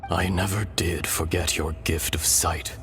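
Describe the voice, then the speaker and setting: A man voicing the calm, weary, benevolent spirit of an ancient dragon in a video game, speaking in a solemn, measured, poetic way. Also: sits low. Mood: wistful, weary. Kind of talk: dramatic video game voice acting. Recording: loud game music under the voice.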